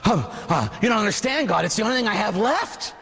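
A man's voice: mid-range voice, sing-song, normal speed, breathy edge. A man voicing a stubborn, pleading ninety-nine-year-old in a sermon story, plain-spoken and protesting. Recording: long hall reverb.

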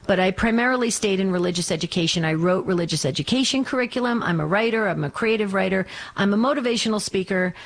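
A woman's voice: medium pitch, fast, hardly any pauses. A mature American woman from New Jersey, in her fifties or sixties, chatting casually as a radio host in an effusive, emphatic way. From New Jersey, United States. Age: 50s or 60s. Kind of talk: casual chat answering a listener's letter.

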